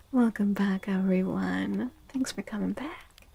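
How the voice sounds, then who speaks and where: Casting: female ASMR stream host, soft and breathy, slightly creaky, unhurried, hushed and gentle. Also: mid-range voice. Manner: slow, hushed, gentle, often slipping into near-whisper. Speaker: livestream host. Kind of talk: soft-spoken ASMR livestream chat.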